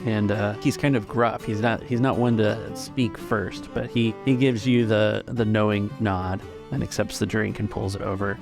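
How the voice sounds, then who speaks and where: A man's voice: on the low side, fairly even intonation, normal speed, creaky, hardly any pauses. A man improvising scene narration as game master of a tabletop game. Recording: faint music behind.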